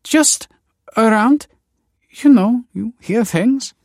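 A man voicing a desperate woman in an audiobook, pleading in an emotional, breathy way. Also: very high voice, slow pace, darkish tone, no echo, studio quality, pitched above the performer's own voice, exaggerated voice. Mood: hesitant.

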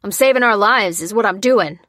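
Woman acting a forceful, desperate forty-ish man. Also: medium pitch, level tone, brisk, dry recording, clean studio sound, natural voice. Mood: angry, urgent. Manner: shouting, forceful, urgent. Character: aggressive, desperate bearded man of around forty.

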